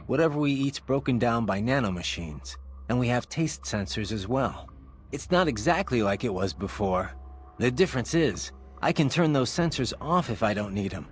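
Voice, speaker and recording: An actor playing a brooding, cynical cyborg mercenary in a video game, gruff and terse. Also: male voice, medium pitch, slight background noise, lightly acted. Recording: music behind.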